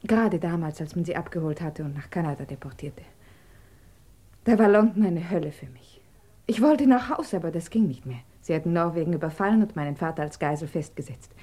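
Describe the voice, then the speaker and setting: An actress in a German radio drama playing an earnest, emotional émigré woman who speaks intimately, sometimes dropping to a hushed, breathy tone. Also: fairly low pitch, expressive, brisk. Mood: pleading, wistful.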